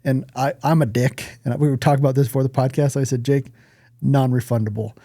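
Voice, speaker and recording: Male American podcast co-host, blunt and profane, soft-toned delivery, very dry sound. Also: mid-range voice, fairly even intonation, fast, no room sound. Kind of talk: casual podcast chat.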